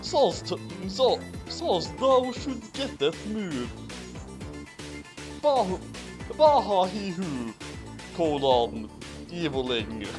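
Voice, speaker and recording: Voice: mid-range voice, big pitch swings, extremely slow, long pauses, music in the background. A person reading a fanfic aloud with comedic drama and casual asides, stumbling over misspelled text. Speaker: fanfic reader.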